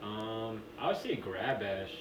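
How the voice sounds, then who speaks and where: A young man talks through his stories at an easy pace, loose and full of slang, his sentences padded with plenty of fillers. He sounds set back from the mic in an echoing room, with steady noise underneath. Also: lowish voice, slow. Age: 20s. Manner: relaxed storytelling, slangy, many fillers. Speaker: podcast guest. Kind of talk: casual conversation. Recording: echoey room, distant mic, noisy.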